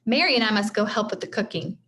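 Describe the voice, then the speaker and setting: A woman reading the part of an earnest, practical young pilgrim woman in a storybook, with expressive, old-fashioned formal phrasing. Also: mid-range voice, fast, natural voice. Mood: brisk, friendly.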